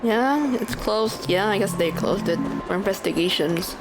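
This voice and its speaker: A woman's voice: medium pitch, animated, moderate pace, poor audio. A woman streamer casually commenting on a game and reading its text aloud. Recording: loud game music behind the voice.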